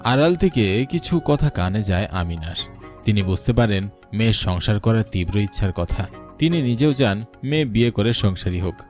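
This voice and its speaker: A man's voice: fairly low pitch, expressive, moderate pace, over music. A man reading a scripted story as a dramatic narrator.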